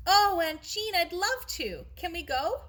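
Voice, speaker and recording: Woman voicing an excitable, chirpy schoolgirl. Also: extremely high pitch, a little slow, pitched above the performer's own voice, full character voice. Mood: excited. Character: eager, teasing young schoolgirl heroine of a storybook.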